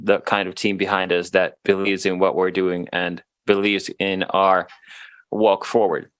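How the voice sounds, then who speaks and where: Finnish man, low voice, dry sound. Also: level tone, clear, resonant tone, dry recording. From Finland. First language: Swedish. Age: 30s. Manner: laughs often, halting restarts. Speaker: guest musician. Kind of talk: casual interview chat.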